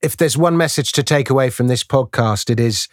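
A man hosting a podcast interview, joking and wrapping up the show smoothly. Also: mid-range voice, brisk, dry recording, clean studio sound. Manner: jokey, polished, wraps up smoothly. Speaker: podcast host.

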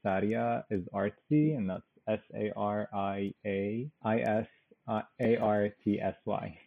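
Gravelly, husky man on a phone-like line. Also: on the low side, slow pace. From Damascus, Syria. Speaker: podcast guest, comedian, artist and writer. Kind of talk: casual interview conversation. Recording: tinny, band-limited phone-like sound.

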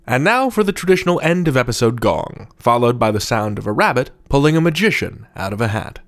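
A man's voice: medium pitch, lively intonation, fairly quick. A man speaking as a podcast host, delivering his lines in a wry, whimsical way. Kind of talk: podcast hosting and scripted outro.

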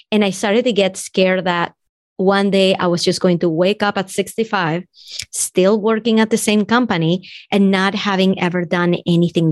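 A woman's voice: medium pitch, no room sound. A woman in her thirties from Venezuela with a Venezuelan Spanish accent, a business coach chatting as a guest and telling her story. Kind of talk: casual interview chat.

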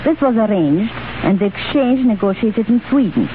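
A woman's voice: mid-range voice, expressive, normal speed. A middle-aged woman giving a scripted radio interview in a formal, carefully worded storytelling manner, 1930s style. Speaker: guest recounting experiences. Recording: noisy, narrow-band 1930s radio broadcast.

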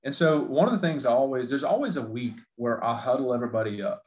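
A man's voice: mid-range voice, fast, a little mellow. A man speaking as a performance coach on a discussion panel. He talks earnestly and repeats his key points. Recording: roomy echo.

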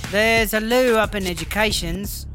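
A woman's voice: medium pitch, moderate pace, music in the background, natural voice. A woman playing a sly, mock-sweet female prison inmate in a comedy audio drama. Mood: mock innocence.

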